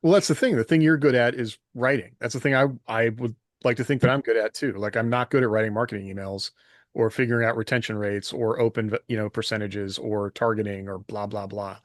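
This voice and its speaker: A man's voice: on the low side, fairly even intonation, fairly quick, no room sound, clean studio sound. A middle-aged American man chatting casually as a podcast co-host, candid and self-deprecating. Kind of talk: casual chat.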